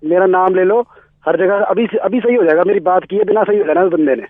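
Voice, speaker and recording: A man's voice: high voice, a little fast, dry recording. A man playing a swaggering, threatening Delhi tough guy on a comic phone call, blustering and slangy. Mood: boastful. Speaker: radio prank caller. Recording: tinny narrow phone line, dry.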